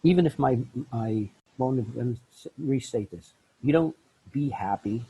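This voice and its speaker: A middle-aged man, a life coach, telling his story in an interview, often jumping into lively quoted voices. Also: unhurried, slightly rough, poor audio. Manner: acts out quoted voices with big pitch jumps. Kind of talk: animated storytelling in a podcast interview.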